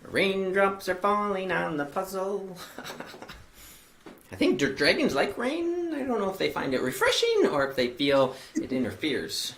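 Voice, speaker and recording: Young woman kids'-show host, giggly and rambling, voice leaping high and low, faint hiss behind. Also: sing-song, unhurried. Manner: bubbly, laughs often, rambles with fillers. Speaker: host of a children's library show. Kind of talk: lively children's show hosting.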